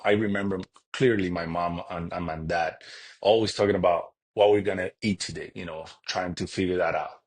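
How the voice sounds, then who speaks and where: A man's voice: sits low, husky. A man from Cuba, a podcast guest, talking earnestly in a casual interview, often restarting his sentences. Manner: earnest, halting with frequent restarts.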